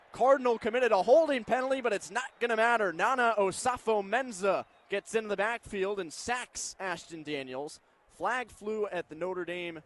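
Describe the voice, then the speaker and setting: An American man doing live play-by-play commentary of a football game on radio, with stadium noise behind him. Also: high-pitched. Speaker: play-by-play announcer.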